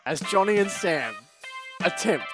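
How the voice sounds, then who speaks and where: A grand carnival barker acted by a man declaims a game-show introduction. The voice is pushed high and loud, theatrical and full of ominous showmanship. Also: lively intonation, unhurried, over music. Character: booming carnival barker announcing a terrifying quiz show.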